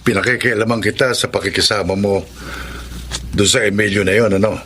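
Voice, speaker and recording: An actor playing a stern, reproachful elder brother and concerned uncle in a Filipino radio drama, with a Filipino accent. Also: male voice, fairly low pitch, fairly even intonation, a little fast, a bit bright, slight background noise, lightly acted.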